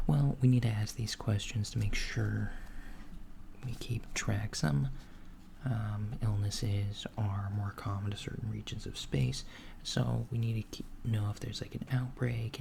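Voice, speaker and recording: A very soft, slow-talking alien doctor in human disguise , acted by a man, with a creaky edge to the near-whispered voice. He patiently works through intake questions in a calm ASMR roleplay manner. The recording is lo-fi, with noticeable noise behind him. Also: lowish voice. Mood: calm, clinical. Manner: unhurried, hesitant, gently clinical. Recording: lo-fi with clear background noise.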